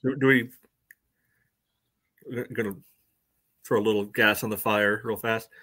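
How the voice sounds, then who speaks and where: A man's voice: lowish voice, steady tone, measured pace, sharp tone. A man in his thirties trading casual sports banter as a co-host on a video call from home. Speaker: soccer podcast co-host. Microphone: video call microphone.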